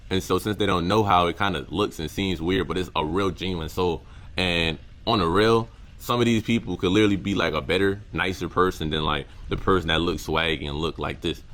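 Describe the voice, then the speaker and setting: Young man, very deep voice, casual slang, halting restarts, reflective vlog talk. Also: a bit bright. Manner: slangy, halting, repeats words often. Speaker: content creator.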